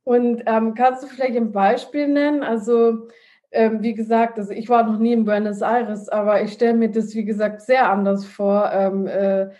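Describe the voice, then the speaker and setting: A woman's voice: on the high side, flat delivery, normal speed, dark tone. A woman interviewing a guest, asking friendly questions with many fillers.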